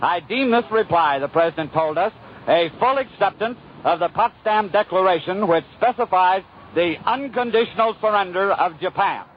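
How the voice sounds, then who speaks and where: Male American correspondent, 1940s live news style, notably high voice, muffled archival broadcast. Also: expressive, moderate pace. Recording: muffled, faintly noisy 1940s radio broadcast.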